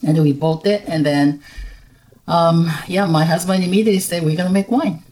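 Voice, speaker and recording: A middle-aged woman from Japan speaking English, talking as a guest in a casual interview, soft-spoken and hesitant, with many fillers. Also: lowish voice, moderate pace, a little hiss or hum. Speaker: guest, winery owner.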